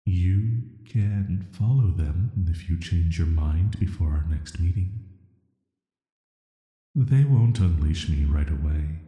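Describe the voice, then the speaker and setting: A man plays a banished villain who visits dreams, very dark and velvety in tone and very low. He speaks softly and slowly, with a flat, measured hesitancy that is flirty yet dangerous. Long reverb trails every word in this roleplay audio drama. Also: highs cut off. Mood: tender, pleading. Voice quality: soft, hushed, atmospheric. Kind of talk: scripted roleplay sleep-aid audio drama.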